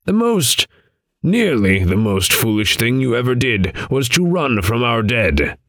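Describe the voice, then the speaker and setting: A man voicing a stern old raven mentor in an audiobook, croaking and solemn, with archaic, admonishing speech. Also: medium pitch, big pitch swings, unhurried, fairly bright tone, dry recording, studio quality, voice pushed up. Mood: gently persuasive. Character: stern old raven guide, grave male riddling mentor. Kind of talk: audiobook character voice.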